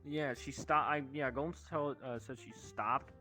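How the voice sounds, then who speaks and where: Bright-voiced young man swearing through a story. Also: medium pitch, normal speed, faint music behind. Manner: sweary, animated slangy storytelling. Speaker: show host telling stories. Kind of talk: casual rambling storytelling with friends.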